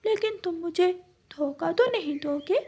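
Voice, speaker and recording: Woman as a naive high-voiced little goat. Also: animated, measured pace, darkish tone. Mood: trusting. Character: naive, easily swayed young female goat. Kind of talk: cartoon story dialogue.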